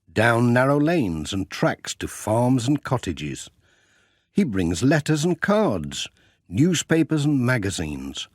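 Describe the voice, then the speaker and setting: Husky, gently lilting male storyteller. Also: no room sound, studio quality. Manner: gentle, sing-song, lively pitch. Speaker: audiobook narrator. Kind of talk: scripted storybook narration.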